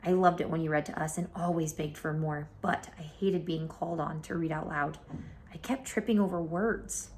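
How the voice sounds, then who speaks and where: A woman reading a children's picture book aloud as an expressive storyteller. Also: low voice, moderate pace, a little hiss or hum.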